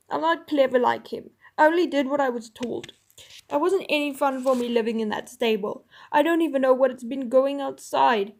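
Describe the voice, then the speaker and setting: A woman's voice: high voice, vocal fry. A woman voicing a meek, simple male donkey who speaks apologetically.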